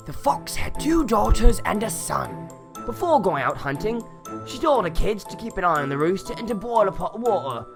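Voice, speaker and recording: Animated woman storyteller over music. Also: mid-range voice, gravelly. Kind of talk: narrating a children's folk tale. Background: instrumental music.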